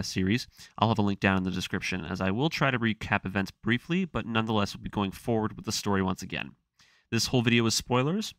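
A man's voice: medium pitch, sharp tone. A man hosting a video series, narrating a recap in a conversational, semi-scripted way. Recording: music playing behind the voice. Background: music.

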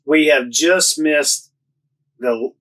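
A man's voice: a little high, takes it slowly, poor audio. Man in his thirties telling travel stories. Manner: relaxed storytelling with fillers and restarts. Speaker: travel vlogger. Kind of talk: casual chat. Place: RV interior, then outdoors at a campground.

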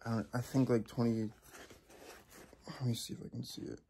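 A man's voice: mid-range voice, flat delivery, normal speed, vocal fry, mellow, a little hiss or hum. A young man talking casually to his viewers, rambling with lots of fillers and restarts. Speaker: vlogger. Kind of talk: casual vlog chat.

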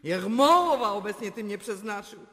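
An actress playing a grieving, imperious empress in a theatrical radio drama. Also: female voice, mid-range voice, expressive, moderate pace, pushed and bright. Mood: anguished lament. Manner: theatrical, mixing languages in lament. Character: grieving, imperious empress mourning her dead favourite. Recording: long reverb, faint music behind.

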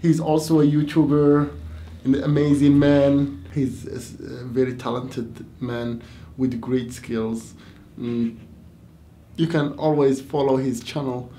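A man in his twenties, an English teacher, doing a playful classroom dialogue in a meeting room, swinging from loud mock outrage to quiet. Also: mid-range voice, level tone, takes it slowly, a little hiss or hum. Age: 20s.

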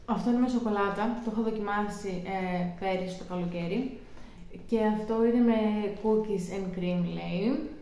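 A woman's voice: medium pitch, dark tone, very echoey, slight background noise. A young woman chatting casually from a sofa, showing off her grocery haul item by item in a rambling, talkative way. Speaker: vlogger. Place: living room, seated on a sofa.

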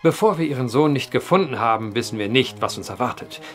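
An actor plays a solemn, courteous man who investigates the uncanny in a gothic audio drama. His mid-pitched voice rises and falls vividly as he explains in formal, deliberate phrases. Soft music sits underneath. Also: expressive, lightly acted. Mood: earnest, deliberate. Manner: formal, measured, old-fashioned phrasing. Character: earnest, methodical man investigating vanishings, polite storyteller. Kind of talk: period horror audio drama acting.

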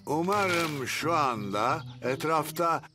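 A man's voice: a little high, expressive, takes it slowly, over music. A man voicing a grumbly old grandpa pig in a children's cartoon, with a theatrical, blustery manner. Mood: wary.

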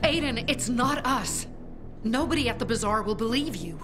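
A woman's voice: fairly high pitch, lively intonation, moderate pace, lightly acted. An actress playing a tough young female faction leader in a video game, firm, urgent, defiant and protective. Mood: defensive. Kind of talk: dramatic video game acting. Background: game music.

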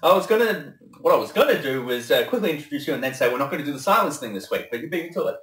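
A man's voice: on the high side, sing-song, rapid-fire, fairly bright tone. Young guy doing casual reaction chat, bit far from the mic, stumbles over words, roomy sound. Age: young adult. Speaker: reaction video host. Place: small indoor room with shelves. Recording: echoey room, off-mic, music behind.

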